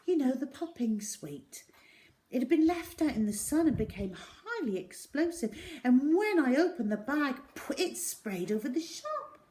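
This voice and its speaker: Shy little schoolgirl, as read by a woman, soft and hesitant, higher voice, storybook style. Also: sing-song, measured pace, a little hiss or hum. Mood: fibbing. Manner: quiet and hesitant.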